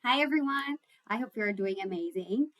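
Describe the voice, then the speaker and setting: A woman's voice: fairly high pitch, animated, normal speed, a bit bright, no echo, low-quality recording. A woman with an Ethiopian accent chatting informally to camera as a host giving guidance, repeating phrases for emphasis. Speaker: YouTube host giving career and scholarship guidance. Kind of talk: casual chat to camera. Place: indoors, homemade home recording setup. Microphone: separate microphone.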